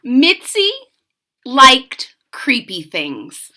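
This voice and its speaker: A woman with a highish, very animated voice reads a children's storybook aloud. She takes it slowly, drawing out key words for dramatic emphasis. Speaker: storybook reader. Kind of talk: expressive children's read-aloud with explanatory asides.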